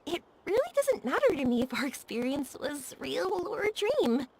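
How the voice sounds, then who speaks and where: A woman playing a bubbly, warm-hearted young woman in an anime-style video game, gushing and earnest in her delivery. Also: sits high, very animated, moderate pace, slight background noise, low-quality recording. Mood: bubbly, grateful.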